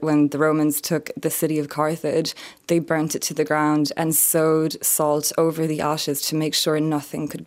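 A young adult woman from France, an author calmly explaining her experiences in an interview. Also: low-pitched. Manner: earnest, measured, explanatory. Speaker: author and survivor, interview guest. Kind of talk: reflective interview answers.

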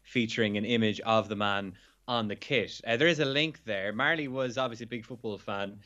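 Irish sports journalist guest, low croaky voice, dull line, chatty with restarts. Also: male voice, a little fast, sharp tone. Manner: chatty, laughing, with self-interrupting restarts. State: slightly hungover and croaky. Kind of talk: radio phone-in chat.

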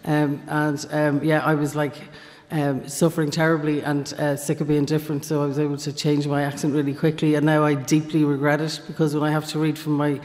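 A woman with a southern Irish accent talks about her background, pausing often and reaching for words. Her voice carries the long, ringing reverb of a large stone hall. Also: low voice, level tone, darkish tone. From Northern Ireland. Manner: hesitant, many fillers. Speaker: author guest. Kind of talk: literary discussion. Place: large marbled sculpture hall in a gallery.

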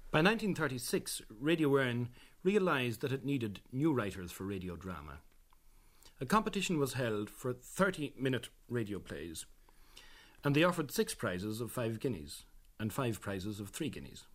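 A man's voice: mid-range voice, very animated. Man presenting radio history. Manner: measured, explanatory. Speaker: documentary presenter. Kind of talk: scripted radio documentary narration.

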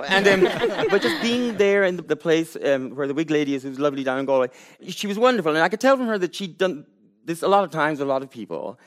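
Lively, fast-talking male host. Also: a little high. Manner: chatty, self-deprecating, stammers on restarts. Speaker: talk show host. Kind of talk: lively interview chat.

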